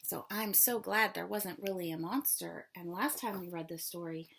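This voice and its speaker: A woman's voice: on the low side, normal speed, vocal fry, a bit bright, a little hiss or hum. A woman elementary school teacher reading aloud and then patiently explaining a lesson to children step by step. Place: small room at home, darkened.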